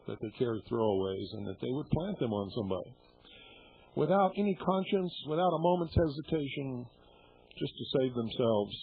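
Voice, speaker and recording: A man's voice: mid-range voice, breathy edge. A middle-aged man hosting a radio show, talking bluntly and indignantly to his listeners and swearing freely. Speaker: radio host. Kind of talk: shortwave radio monologue. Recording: tinny shortwave radio broadcast.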